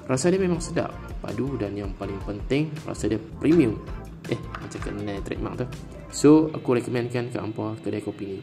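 A man in his thirties with a northern Malaysian Kedah accent, narrating a casual vlog in a gravelly voice. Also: fairly low pitch, brisk. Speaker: vlogger. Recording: music playing over the voice.

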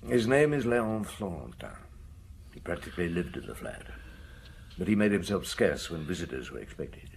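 A man playing a measured, probing but sympathetic police detective in a radio drama, deliberate and understated. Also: sits low, very animated, vocal fry, a little hiss or hum, heavily acted. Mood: gently blunt.

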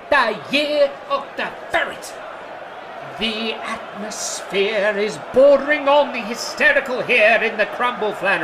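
An excitable commentator in a comic audio drama. The voice is high and swoops widely over crowd noise. He is bombastic and relishes every phrase. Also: exaggerated voice. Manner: shouting, bombastic. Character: excitable male sports commentator at a medieval match.

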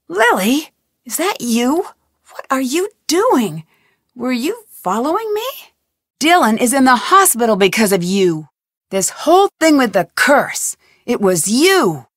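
A woman's voice: on the high side, no echo, clean studio sound. An actress voicing a curious young woman detective, asking polite, inquisitive questions in recorded game lines.

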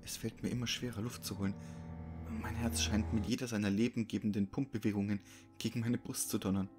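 A man's voice: on the low side, little pitch movement, a little fast. A man narrating a scripted horror story. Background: music.

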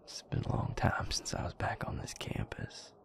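A man playing a calm, gentle, wry vampire in an intimate audio roleplay, hushed and gravelly, measured and understated. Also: in a whisper, natural voice. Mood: quiet, reassuring.

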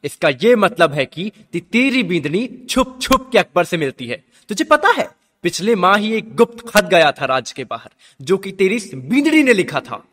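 A man's voice: high voice, big pitch swings, moderate pace, exaggerated voice. A man playing a stern, domineering royal father-in-law, accusing and angry, in a radio drama. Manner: forceful, accusatory. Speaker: actor in a student radio drama. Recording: long hall-like reverb.

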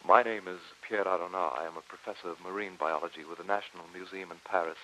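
Low, dignified French professor. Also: male voice, steady tone, sharp tone, low-quality recording. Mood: formal, appealing. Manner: measured, formal diction. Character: earnest, dignified French professor of marine biology. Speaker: narrator and lead actor in a radio drama. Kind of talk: old-time radio drama acting. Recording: hissy old radio recording.

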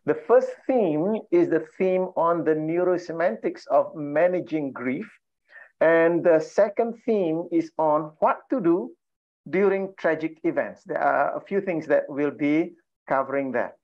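A man's voice: a little high, expressive, unhurried. Malaysian man hosting a video call workshop. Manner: frequent "uh" fillers, warm and laughing. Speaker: trainer and coach hosting an online session. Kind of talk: welcoming an online workshop. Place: home room with bookshelves. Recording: slightly dull video call audio.

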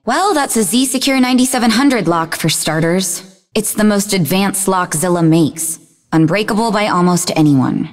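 An actress voicing a sarcastic, sharp-tongued, bookish young half-demon woman in scripted video game dialogue, with a dry delivery. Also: medium pitch, expressive, moderate pace, in a large hall, faint music behind, lightly acted. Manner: dry, sardonic.

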